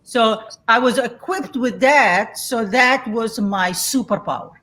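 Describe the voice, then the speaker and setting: A woman's voice: on the high side, slow pace, a bit bright. A woman in her sixties from Somalia, a guest telling her story candidly and laughing easily. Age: 60s. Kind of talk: reflective interview conversation.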